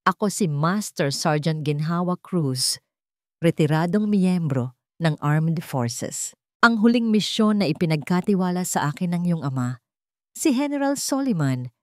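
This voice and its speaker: A woman voicing a loyal retired female master sergeant in a story, speaking firmly with clear discipline. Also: sits low, animated, measured pace, a little mellow, no room sound, clean studio sound. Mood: firm, solemn.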